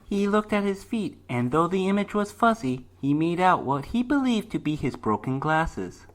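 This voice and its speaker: A man's voice: high voice, big pitch swings, moderate pace. A man reading a short story aloud as dramatic scripted narration, in the role of storyteller.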